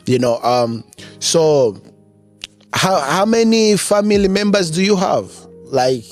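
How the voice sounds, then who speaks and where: Slow, halting man with a higher voice. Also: animated, faint music behind. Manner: halting, repeats words as he phrases questions. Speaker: podcast host, interviewer. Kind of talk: conversational interview.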